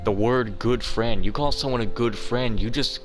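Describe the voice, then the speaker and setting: A man's voice: medium pitch, normal speed. A young man giving casual, slangy, joking commentary as he plays through a video game. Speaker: gaming video host. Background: faint game music.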